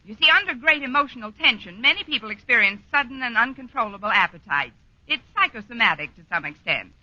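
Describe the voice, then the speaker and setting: An actress playing a quick-witted, sarcastic woman schoolteacher in an old radio comedy, polite on the surface. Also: fairly high pitch, lively intonation, bright, forceful tone, no echo, heavily acted. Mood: stalling, wisecracking. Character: wry, quick-witted single woman schoolteacher, dryly wisecracking. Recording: dull, noisy vintage radio recording.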